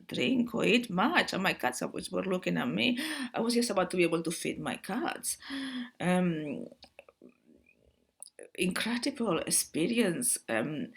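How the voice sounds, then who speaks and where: A woman with a Spanish accent talks to her viewers in an excitable, rambling monologue, calling them guys and often restarting her words. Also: lowish voice, creaky, husky. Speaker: spiritual video host.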